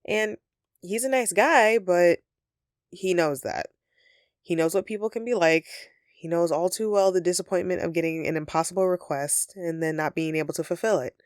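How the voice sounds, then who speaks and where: A friendly, easily flustered female elf from a festive audio roleplay, acted by a woman. Her voice sits on the low side for a woman and is recorded very dry. She talks straight to the listener in a sheepish, earnest, conversational way. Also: moderate pace, no echo, lightly acted. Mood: earnest, insistent. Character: flustered, friendly female Christmas elf, secretly living as roommate.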